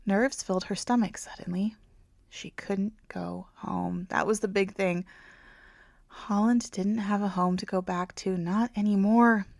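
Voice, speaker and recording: A woman reading a romance audiobook as its author, in a dramatic storytelling manner with hushed phrase endings. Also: mid-range voice, steady tone, measured pace, creaky, no room sound, studio quality. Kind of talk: scripted narration, romance audiobook.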